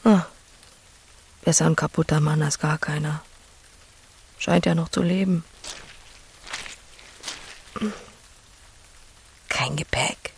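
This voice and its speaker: A woman's voice: on the low side, darkish tone, a little hiss or hum. An actress playing a lonely, earthy farmer woman, blunt yet tender, murmuring half to herself in an audio drama. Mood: curious. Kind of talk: audio drama acting, murmured monologue and dialogue.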